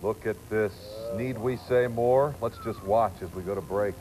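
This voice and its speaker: A man's voice: lowish voice, moderate pace, a little mellow, slight background noise, low-quality recording. An American man anchoring TV news, reading scripted copy with some light, chatty reaction.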